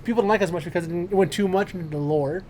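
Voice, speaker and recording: A man with a noticeably high voice chats casually as a podcast co-host. He is animated and jokey and swears freely. Faint background noise sits under his voice. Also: rapid-fire. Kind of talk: casual chat about films and video games.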